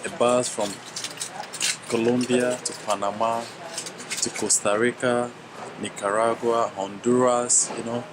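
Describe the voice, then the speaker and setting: A man's voice: medium pitch, little pitch movement, measured pace, pauses often. A man, telling his migration story earnestly in a documentary interview. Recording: loud background noise.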